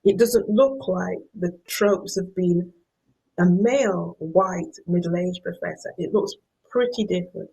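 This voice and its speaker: A middle-aged woman with a Yorkshire accent delivers an academic lecture. Her voice sits fairly low, with a gravelly edge and a husky texture. She often doubles back on words as she reaches for the next phrase. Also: unhurried, dark tone, low-quality recording. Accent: Yorkshire English. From Yorkshire, England. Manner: repeats words while searching for the next phrase. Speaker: professor giving a guest lecture.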